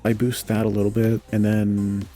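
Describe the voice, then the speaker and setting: A young man with a low voice giving a relaxed, casual tutorial on audio mixing, thinking aloud and correcting himself as he goes. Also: normal speed. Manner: relaxed, thinks aloud with self-corrections and filler "you know". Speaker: podcaster explaining audio mixing. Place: home room with a fan running. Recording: home studio mic with quiet fan noise and faint music.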